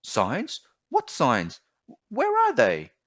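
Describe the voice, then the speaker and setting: A man's voice: medium pitch, huge pitch swings, extremely slow, a bit bright, no room sound, highs cut off, voice pushed up, full character voice. A man voicing a young boy in a storybook, earnest, hesitant and full of wonder. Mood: wondering, eager. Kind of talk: storybook character voice.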